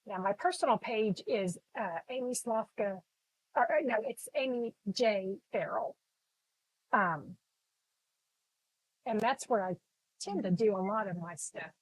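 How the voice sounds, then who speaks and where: A woman's voice: medium pitch, a little slow, pauses often, no room sound, low-quality recording. A middle-aged woman with a Texan accent, chatting casually with viewers while she paints on a live stream recorded on her phone. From Texas. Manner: chatty, rambling, greets viewers by name. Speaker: art instructor live-streaming. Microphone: phone camera.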